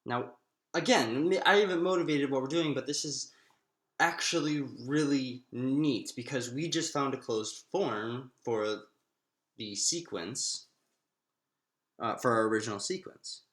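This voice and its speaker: A man's voice: mid-range voice, a bit bright. Man teaching maths in an echoey room. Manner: thinking aloud, halting, often restating himself. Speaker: maths lecturer. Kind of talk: informal step-by-step maths lesson.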